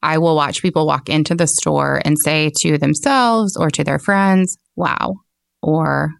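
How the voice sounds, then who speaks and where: American woman, low pitch, noticeable vocal fry, casual explanatory talk, dry studio-close sound. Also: measured pace, no room sound. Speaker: bookstore owner, interview guest.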